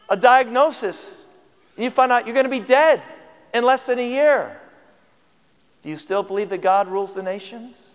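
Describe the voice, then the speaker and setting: A man's voice: sits high, in a large hall. A man preaching a sermon in a conversational way, asking the congregation questions, his voice tired. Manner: conversational, asks the audience questions. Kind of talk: sermon teaching.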